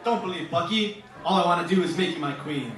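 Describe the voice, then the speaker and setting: A man's voice: high voice, fairly quick, fairly bright tone, faint music behind. Man playing echoey flirtatious radio villain. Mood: flirtatious, suave. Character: flirtatious, smooth-talking male villain in detective spoof. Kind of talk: parody old-time radio detective acting. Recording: echoey, distant, with crowd noise.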